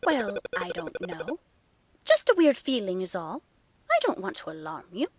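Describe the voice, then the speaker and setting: A woman's voice: medium pitch, huge pitch swings, normal speed, slightly rough, no echo. A woman playing a whimsical magic teacher in a game fan dub, reassuring yet secretive. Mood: uneasy, reassuring.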